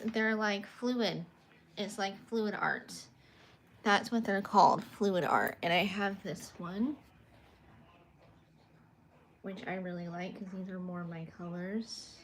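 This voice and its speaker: A soft-spoken young woman from California chats slowly and unhurriedly while she shows her paintings on a livestream. She sounds a little awkward and stays at a middling pitch as she reads out viewer comments. There is a faint hiss of noise behind her in her bedroom. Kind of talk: casual livestream chat, answering viewer comments.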